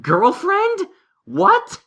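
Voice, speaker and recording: A studious young unicorn stallion from an audio story, played by a man, earnest and analytical. The voice is bright and sharp, with very animated, emphatic swings in pitch. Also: extremely slow, gravelly, exaggerated voice. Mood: startled. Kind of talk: character acting in a fan-fiction audio story.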